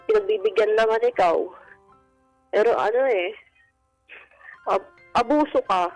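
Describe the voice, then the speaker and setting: A woman's voice: mid-range voice, measured pace, rough recording. A woman calling a radio advice show, speaking in a plain, exasperated, everyday way about personal matters. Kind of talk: personal appeal in everyday speech. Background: music.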